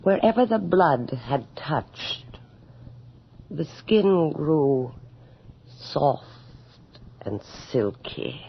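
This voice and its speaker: A woman's voice: deep voice, big pitch swings, creaky, breathy edge, full character voice. An actress playing a vain, cruel, passionately devoted noblewoman in a theatrical 1980s radio horror drama. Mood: cold, silky. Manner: theatrical, intense, drawn-out delivery. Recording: muffled old radio drama with hiss.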